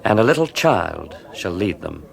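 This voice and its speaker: Lively, wry British colleague. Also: male voice, deep voice, moderate pace, vocal fry, heavily acted. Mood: sceptical, wry. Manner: wry, jovial, urbane. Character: wry, genial male colleague, friend and godfather. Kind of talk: 1980s radio drama acting.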